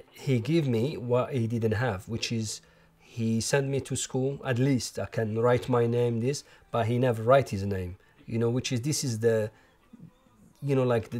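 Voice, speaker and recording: A man in his forties with an Algerian accent, speaking in a documentary interview at home with many pauses and restarts. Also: mid-range voice, dark tone. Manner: halting, many restarts and fillers. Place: living room at home. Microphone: lapel.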